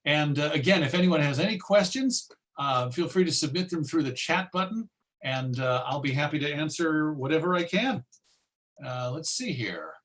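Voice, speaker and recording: A man presenting an informal online talk and answering questions in a hesitant, musing way, often pausing mid-phrase. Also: a little high, animated, fairly bright tone. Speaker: presenter and old-time radio collector.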